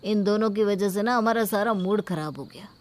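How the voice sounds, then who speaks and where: Entitled cartoon houseguest, grumbling and demanding, mid-pitched female performer. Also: fairly quick, darkish tone, natural voice. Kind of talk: Hindi cartoon story acting.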